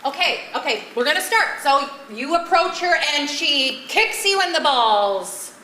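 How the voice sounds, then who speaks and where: An echoey, faintly noisy stage recording of a woman acting as a stubborn director. The voice is very high and theatrical, insisting bossily on an absurdist playwright's genius in a live amateur comedy. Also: animated, a little slow.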